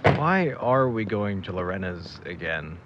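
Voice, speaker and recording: Low-voiced, weary young man, played by an actor. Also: very animated, a little slow, dull audio, background noise. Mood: wry, grudging. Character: weary hungover young man, reluctant investigator telling the story. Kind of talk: naturalistic audio drama acting and narration.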